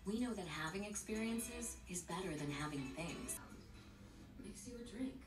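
Lady doing a smooth hotel-ad voiceover, bouncy lively delivery, heard off a tv across an echoey room with loud music under it. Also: medium pitch, normal speed, far from the mic. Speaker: advertisement voice-over. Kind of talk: scripted commercial narration.

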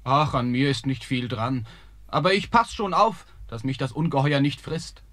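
An actor playing a brave, polite young prince in a fairy-tale audio drama. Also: male voice, medium pitch, normal speed, fairly bright tone, close to an everyday voice.

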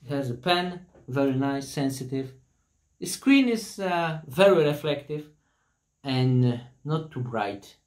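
A man in his thirties, not a native English speaker, casually reviewing a laptop in plain, halting English and apologizing for his language. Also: mid-range voice, lively intonation, darkish tone, echoey room. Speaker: tech reviewer. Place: hot room at home with a construction site nearby. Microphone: laptop built-in mic and camera. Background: buzzing construction noise.

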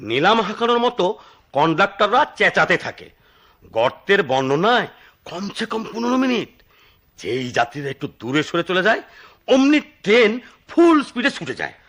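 Chatty eccentric old switchman in a radio play, kinda high voice, very swoopy, theatrical. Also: big pitch swings, normal speed, a bit bright. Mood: animated storytelling. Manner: theatrical, cautioning storyteller. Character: eccentric talkative retired railway switchman, older man. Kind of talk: radio drama acting.

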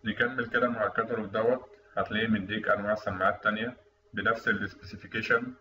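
A man with an Egyptian Arabic accent giving a technical lecture over a screen recording, explaining in a quick, conversational way. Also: on the low side, steady tone, pushed and bright. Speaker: instructor. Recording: distant, dull, echoey room mic with faint noise.

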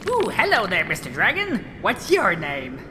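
A woman playing an excitable, chipper pony weather reporter in a comedic audio drama, chatty and theatrical with nervous hesitations. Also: mid-range voice, moderate pace, heavy background noise. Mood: nervously chipper.

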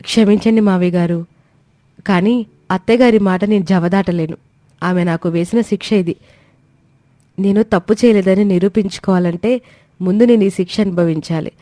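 A woman's voice: mid-range voice, moderate pace, long pauses, warm tone, no echo, close to an everyday voice. A woman voicing a meek, dutiful young daughter-in-law who is polite, deferential and composed in a family story. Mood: resolute, apologetic.

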